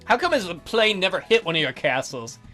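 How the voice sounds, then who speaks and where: A woman plays an ordinary teenage boy in a visual novel game. He is sceptical and reluctant, answering with dry sarcasm in a bright, lively voice. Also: fairly low pitch, brisk, faint music behind. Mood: sarcastic, casual. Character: sceptical, sarcastic fifteen-year-old everyday boy.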